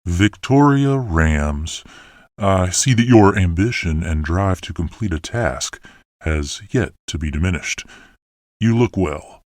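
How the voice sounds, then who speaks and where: A man's voice: sits low, animated, slow, a little mellow, no echo, studio quality. A man playing a stern, commanding council leader in a fantasy audio drama, grave and authoritative. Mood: measured, grave.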